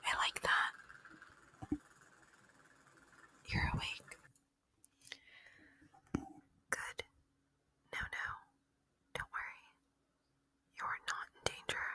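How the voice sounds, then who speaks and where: A woman voicing a gentle, lonely alien in an ASMR roleplay, whispering slowly and intimately in a soothing, reflective way. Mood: soothing, curious. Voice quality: breathy whisper. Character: gentle, lonely alien abductor, curious about humans. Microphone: close, dry microphone.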